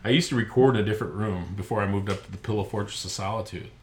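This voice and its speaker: A man's voice: on the low side, racing, slight background noise. A middle-aged man hosting a podcast from home, chatting casually and rambling with self-mocking humor. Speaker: podcast host. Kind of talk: casual chat. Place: home recording room.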